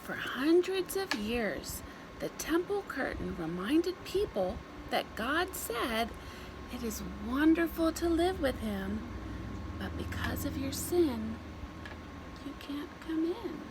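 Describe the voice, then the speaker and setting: A woman reading a story aloud to young children in an expressive, deliberate way, with simple phrases and dramatic pauses. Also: sits high, noisy background.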